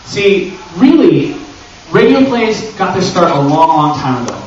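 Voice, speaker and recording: A man's voice: sits high, sing-song, unhurried. Echoey, distant man presenting live. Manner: joking, theatrical, with rhetorical questions. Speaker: convention panel presenter. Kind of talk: informal live presentation to an audience. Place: large echoey indoor panel room. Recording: echoey room from far off, muffled, noisy.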